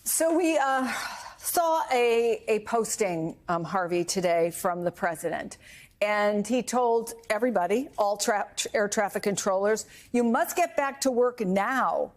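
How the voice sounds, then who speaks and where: A woman anchors a news program with a medium-pitched voice. She interviews guests conversationally, moving between relaxed remarks and reported news. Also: measured pace.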